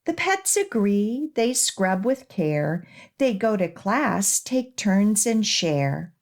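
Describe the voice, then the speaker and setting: A woman children's librarian reading a rhyming picture book aloud for young children, with expressive, measured emphasis on the rhymes. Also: mid-range voice. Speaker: children's librarian and storytime host.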